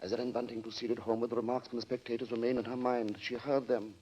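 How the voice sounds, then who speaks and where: A man's voice: medium pitch, level tone, fast, dark tone. A man narrating a radio suspense drama in a grave, theatrical 1940s style.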